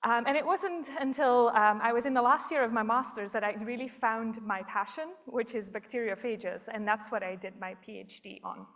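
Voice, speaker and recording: A woman's voice: fairly high pitch, normal speed, few pauses, fairly bright tone. A woman in her thirties from Belgium giving a public science talk, explaining things step by step with frequent ums.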